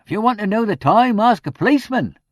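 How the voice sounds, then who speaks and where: Brief: male reader voicing a gossipy old charwoman, high and bouncy. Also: lively intonation, quick pace, dry recording, studio quality. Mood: chattering. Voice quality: broad, chattering, indignant. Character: gossipy old village charwoman, talkative and proud.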